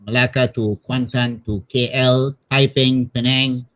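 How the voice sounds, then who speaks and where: A middle-aged priest from Malaysia with a Malaysian accent, telling personal stories casually and haltingly, often repeating words. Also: male voice, mid-range voice, flat delivery, a bit bright. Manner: hesitant, repeats words, tags with "you know". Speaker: missionary priest being interviewed.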